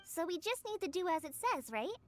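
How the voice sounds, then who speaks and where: Very high, inquisitive little girl. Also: big pitch swings, fairly quick, a bit bright, soft background music, exaggerated voice. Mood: curious. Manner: wide-eyed, inquisitive. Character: curious, cheerful little girl in a fantasy game. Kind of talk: animated video game voice acting.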